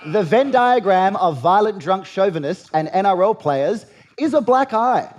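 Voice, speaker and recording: Australian male late-night host, young adult, mid-high voice, sardonic stand-up monologue delivery. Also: expressive, moderate pace, a little mellow, muffled recording. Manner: sardonic, punchy delivery with rising punchlines. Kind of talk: satirical comedy monologue.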